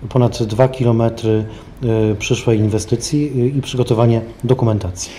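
A man's voice: medium pitch, steady tone, moderate pace, dark tone, slight background noise. A middle-aged man speaking as a city official at a press briefing, in hesitant, broken phrases. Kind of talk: semi-spontaneous official briefing. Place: indoor press briefing room at a desk.

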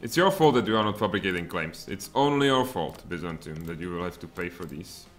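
A man in his thirties with a Czech accent, streaming a strategy game from home in lively, muttered commentary with sudden outbursts. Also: low voice, fairly quick, faint music behind. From Czech Republic. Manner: animated, muttering asides, sudden exclamations. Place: home room, indoors.

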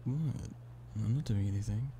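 A man's voice: on the low side, moderate pace, natural voice. A man playing an affectionate, playful boyfriend in an ASMR roleplay, teasing and pleading in an intimate one-sided conversation. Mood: coaxing, casual. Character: playful, affectionate human boyfriend coaxing a stubborn cat-person. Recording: steady background noise of rain. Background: rain.

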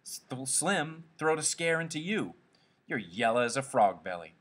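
A man's voice: medium pitch, very animated, measured pace, fairly bright tone, voice pushed up. Man voicing a brash, taunting ranch hand. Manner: taunting, rough rural American speech. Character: mocking, brash, aggressive male ranch hand.

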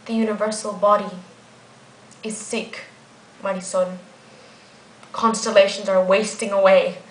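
A woman performing a theatrical monologue as an ancient guardian angel, grave, weary and resolute, speaking deliberately with dramatic pauses. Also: medium pitch, flat delivery, lightly acted. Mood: grieving, urgent. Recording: echoey room.

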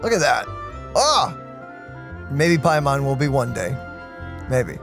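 A man's voice: medium pitch, big pitch swings, takes it slowly, gravelly. A man streaming a video game, chatting casually and exclaiming in an easygoing way. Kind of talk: casual let's play chat. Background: faint game music.

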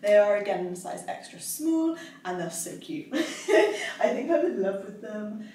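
A cheerful young woman chats through a clothing haul, laughing often and filling pauses with "um" and "like." Her voice sits at an ordinary middle pitch. It bounces around a bare, very echoey room, picked up from a camera a few steps away. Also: lively intonation, darkish tone, recorded from a distance, slight background noise. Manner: bubbly, giggly, chatty with many fillers. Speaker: fitness vlogger. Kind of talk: casual try-on haul chat. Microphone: camera across the room.

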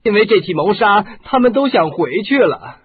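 A man's voice: high-pitched, very animated, moderate pace. An actor playing a fretful, harried male show director in a radio drama. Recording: muffled phone-like audio.

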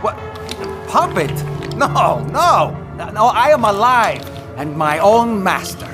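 Lively, proud little puppet. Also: male voice, sits high, slow pace, exaggerated voice. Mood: indignant. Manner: indignant, pompous, formal phrasing. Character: excitable, proud little living puppet man, former spirit medium. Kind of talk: video game cutscene acting. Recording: music behind the voice.

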